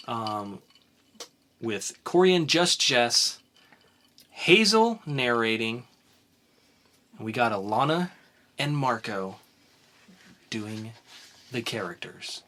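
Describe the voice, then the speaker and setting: A man's voice: medium pitch, pushed and bright. A man co-hosting a casual comics podcast, chatting in an upbeat way as he runs through a list of upcoming releases. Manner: listing items in a chatty, upbeat way. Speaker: podcast co-host.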